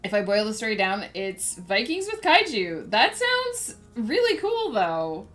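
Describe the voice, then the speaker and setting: A woman's voice: medium pitch, big pitch swings, measured pace, a bit bright, soft background music. A woman author chatting casually on a livestream, thinking aloud and rambling with lots of fillers, a little sniffly. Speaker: author streaming her writing process.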